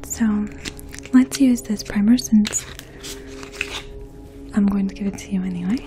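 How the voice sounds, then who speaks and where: A woman in her twenties speaking in a soft, hushed, breathy voice, gently explaining things as a makeup artist in an ASMR role play. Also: medium pitch, measured pace, music in the background. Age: 20s. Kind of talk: ASMR role play, addressing the listener as a client. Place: small indoor room. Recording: faint steady outdoor hum. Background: distant lawn mower.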